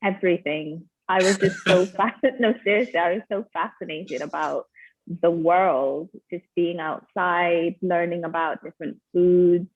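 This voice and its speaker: A woman's voice: fairly low pitch, fairly even intonation, measured pace, no echo. A woman from Jamaica, telling her story as a panelist, thoughtful with some ums. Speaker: panelist, coffee company founder. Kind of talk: casual personal story. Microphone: video call. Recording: tinny phone-like call audio.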